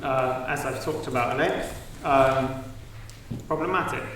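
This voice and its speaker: A man's voice: mid-range voice, a little slow, a bit bright. A man on a debate panel speaking with careful, hedged phrasing and fillers. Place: large university auditorium. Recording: echoey hall, far from the mic, faint hiss.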